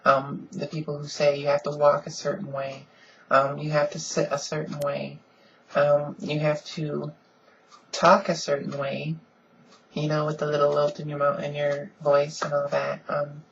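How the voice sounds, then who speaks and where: A woman with a deep voice she softens a little, talking earnestly and casually in a home vlog with lots of ums. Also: monotone, measured pace, vocal fry, highs cut off, a little hiss or hum. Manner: frequent "um"s, earnest and conversational. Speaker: vlogger. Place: at home, in pajamas.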